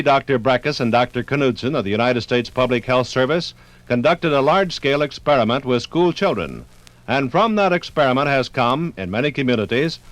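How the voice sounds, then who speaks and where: A man reading scripted 1950s radio documentary narration in a formal, measured, precise manner. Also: mid-range voice, dry recording, rough recording.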